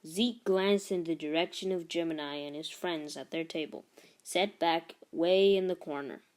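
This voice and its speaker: A woman narrating a children's book aloud in an expressive read-aloud style. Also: on the low side, normal speed.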